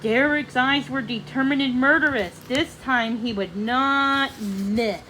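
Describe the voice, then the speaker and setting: A woman's voice: sits high, slow pace, sharp tone, a little hiss or hum, poor audio. A woman narrating a storybook read-along in a dramatic manner, occasionally stumbling over words.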